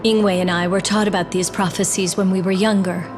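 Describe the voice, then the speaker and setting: An actress playing a poised young princess in a fantasy video game, eager to learn. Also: female voice, medium pitch, little pitch movement, a little fast, music in the background, natural voice. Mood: earnest.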